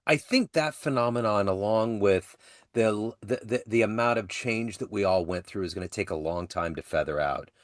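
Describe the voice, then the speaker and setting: A man's voice: on the low side, moderate pace, dry recording, clean studio sound. A man hosting an interview on a video call, a longtime jazz radio presenter who talks in an easygoing, enthusiastic way with jazz slang. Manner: relaxed, enthusiastic jazz talk with slang like "cats" and "dig". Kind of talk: casual conversational interview.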